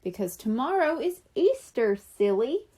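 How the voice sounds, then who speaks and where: Woman as a high, matter-of-fact young boy. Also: big pitch swings, unhurried, mellow. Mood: teasing.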